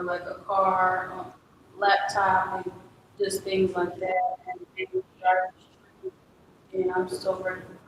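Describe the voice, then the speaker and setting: A young woman giving halting personal testimony as a guest in a public community conversation. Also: mid-range voice, creaky. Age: young adult. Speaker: community panel guest, former foster youth. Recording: very echoey room, distant mic, noisy.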